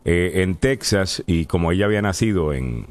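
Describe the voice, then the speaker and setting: A man's voice: low voice, expressive, moderate pace. A man hosting a morning radio show, chatting casually with his cohosts and crew, with hesitant fillers and loose jokes.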